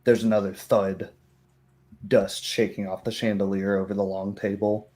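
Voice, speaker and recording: A man narrating a tabletop roleplaying game as game master, in measured, broken phrases. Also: fairly low pitch, little pitch movement, warm tone.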